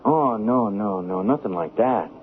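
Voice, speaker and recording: An American actor playing an edgy, bullying small-time crook in debt, in a 1970s radio drama style. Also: male voice, medium pitch, huge pitch swings, measured pace, creaky, exaggerated voice. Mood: sheepish, wheedling. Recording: tinny, noisy 1970s radio recording.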